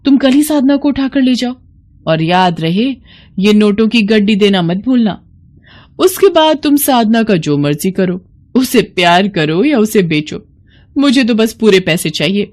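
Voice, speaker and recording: A woman voicing a greedy, scheming aunt in an animated moral story, with a coaxing, ingratiating manner. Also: mid-range voice, measured pace, natural voice.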